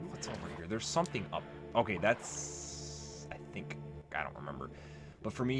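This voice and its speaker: Casting: male let's play host, lowish voice, casual rambling delivery, game music clearly audible behind. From United States. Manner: rambling, nostalgic, informal with swearing. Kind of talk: casual gameplay commentary. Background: video game music.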